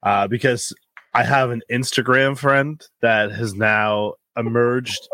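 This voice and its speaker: A man co-hosting a podcast, telling stories in a teasing, animated way. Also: mid-range voice, slow, dry recording. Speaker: podcast co-host. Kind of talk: casual podcast banter.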